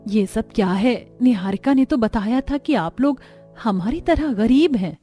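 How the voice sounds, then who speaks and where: A humble, apologetic middle-aged mother in a cartoon tale, acted by a woman narrator. She speaks softly and a little low, with faint music behind. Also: animated, measured pace. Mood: bewildered.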